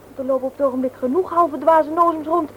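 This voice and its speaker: A woman's voice: sits high, fairly even intonation, quick pace, lightly acted. A woman acting a reproachful, headstrong young woman in a radio play. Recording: noisy old radio play recording.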